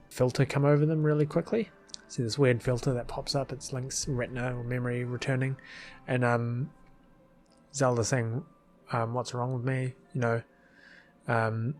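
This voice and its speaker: A man talks through his editing as a video creator, with a mid-pitched voice. He rambles casually and thinks aloud, informal and a little hesitant, with faint music behind him. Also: a little mellow. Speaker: video creator explaining his fan film edit.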